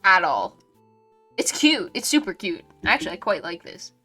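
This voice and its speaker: A woman's voice: lowish voice, big pitch swings, moderate pace, bright, forceful tone. A woman game streamer giving casual, sweary and effusive livestream commentary on a visual novel. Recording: music behind the voice.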